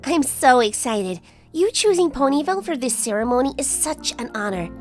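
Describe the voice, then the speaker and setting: An earnest young pony princess and keen student acted by a woman in a fan audio drama. Her voice is high and swoops with eagerness, over loud music. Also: big pitch swings, normal speed. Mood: bubbly, excited.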